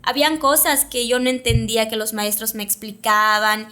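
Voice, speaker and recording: Woman, young adult, Maya speaker, hesitant, reflective, fairly high pitch. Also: moderate pace, sharp tone. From Mayapán, Yucatán, Mexico. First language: Yucatec Maya. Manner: reflective, hesitant, many fillers. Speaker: guest, communicator. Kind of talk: casual interview conversation.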